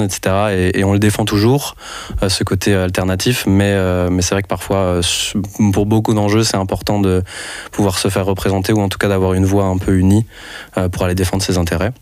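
A man's voice: low-pitched, no room sound. A young man from France, a festival organizer speaking as a radio interview guest, hesitant with many restarts. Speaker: festival organizer and network coordinator.

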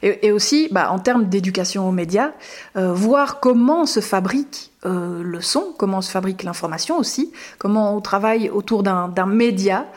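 A woman's voice: mid-range voice, animated, moderate pace. A woman who is a workshop organizer, explaining earnestly with frequent hesitations. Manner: earnest, many fillers.

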